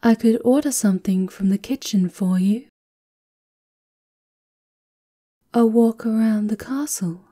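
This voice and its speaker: A woman performing a stern, dutiful female castle guard in an ASMR roleplay, speaking in a hushed, formal, measured way. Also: fairly high pitch, lightly acted. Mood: reserved, cautioning.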